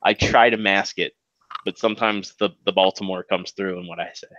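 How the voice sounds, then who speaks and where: A man's voice: deep voice, steady tone, a little fast, a bit bright, no echo, lo-fi sound. A man with a Maryland accent co-hosting a podcast, rambling casually with lots of fillers and restarts. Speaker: podcast co-host. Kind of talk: casual chat.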